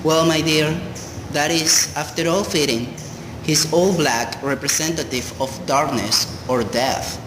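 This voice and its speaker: A man acting in a radio play as a moody husband who turns into a cruel drunkard, in a theatrical, deliberate delivery. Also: on the high side, natural voice. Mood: affectionate. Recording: noisy, long hall-like reverb.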